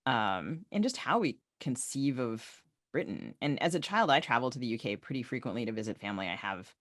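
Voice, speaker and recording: A man's voice: medium pitch, big pitch swings, fairly quick, a bit bright, no room sound, studio quality. A man hosting a podcast and asking questions in a conversational way. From United States. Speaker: podcast host. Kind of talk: conversational interviewing.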